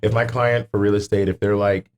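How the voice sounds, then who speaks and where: A man's voice: on the low side, fairly even intonation, brisk, a little mellow. An American man in casual podcast conversation, rambling and repeating words for emphasis as he goes. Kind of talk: casual chat.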